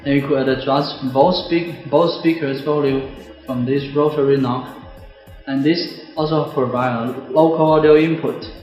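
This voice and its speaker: A man's voice: mid-range voice, steady tone, a little slow, a little mellow. A man, giving a scripted product demonstration. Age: 20s. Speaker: product presenter. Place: bare indoor office or classroom. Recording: very echoey room, music behind.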